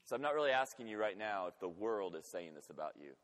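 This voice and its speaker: A man's voice: lowish voice, big pitch swings, fast talker. Preacher man in a reverberant hall. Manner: emphatic, shifts between loud urgent peaks and hushed intimate lines. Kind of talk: sermon.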